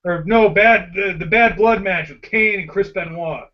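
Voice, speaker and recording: A man's voice: high-pitched, moderate pace. A man hosting a rowdy group video chat, joking and giggling a lot. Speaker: host of the hangout. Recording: dull lo-fi call audio.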